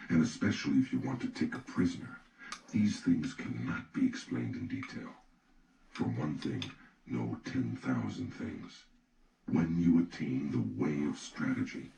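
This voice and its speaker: A man reading scripted audiobook narration in a measured, instructive manner. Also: very deep voice, gravelly, warm tone. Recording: echoey, distant, noisy recording.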